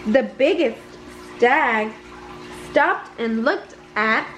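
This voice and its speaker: A woman reads a children's bedtime story aloud expressively, with some halting slips. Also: on the high side, expressive, fairly bright tone, faint music behind, low-quality recording. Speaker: storybook reader.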